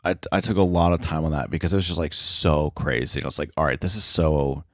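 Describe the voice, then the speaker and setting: A man speaking as an interview guest in casual chat, halting and informal, thinking his way through each phrase. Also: extremely low pitch, fairly even intonation, no echo, clean studio sound. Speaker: interview guest, sound editor.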